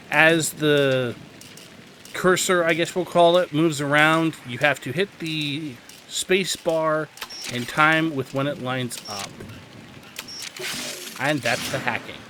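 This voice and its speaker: Animated man explaining a video game. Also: on the high side. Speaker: video game guide host. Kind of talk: casual instructional gameplay commentary. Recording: clear background game noise. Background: video game ambience.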